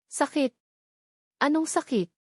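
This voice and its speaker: A woman's voice: high voice, slow pace, dry recording, clean studio sound, pitched above the performer's own voice. A woman voicing a distraught policewoman mother in a narrated love drama, emotional and full of questions. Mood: shocked. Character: distraught young policewoman mother, hurt and angry.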